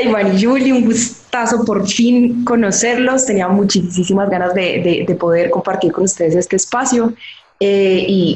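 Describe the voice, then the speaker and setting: A woman's voice: mid-range voice, a little mellow, a little hiss or hum. Colombian woman chatting over a roomy call. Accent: Colombian Spanish. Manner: chatty, storytelling, frequent fillers and restarts. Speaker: guest. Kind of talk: interview over video call. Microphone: video call.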